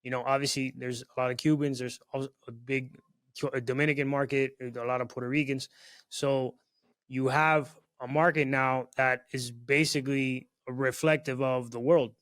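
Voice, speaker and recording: A young man from South Florida hosting a podcast, talking in a relaxed, chatty way with lots of "bro" and "you know what I mean.". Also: medium pitch, normal speed. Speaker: podcast host. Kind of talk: casual chat.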